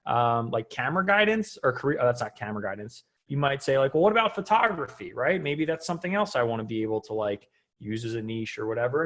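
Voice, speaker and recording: A man with a high-pitched voice walks through an on-screen tutorial in a casual, unscripted way. He talks like a coach, conversational and often checking in with short tag questions. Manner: conversational, frequently checking in with "right?". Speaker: online business coach presenting a tutorial.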